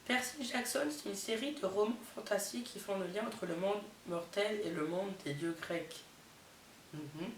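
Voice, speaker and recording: Young woman, head cold, nasal, chatty vlogger; distant mic, echoey room. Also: medium pitch. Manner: chatty, lots of fillers and amused asides. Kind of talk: casual chatty vlog, reading out summaries with reactions. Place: indoors, not her bedroom. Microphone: camera mic. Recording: roomy, distant camera mic with faint hiss.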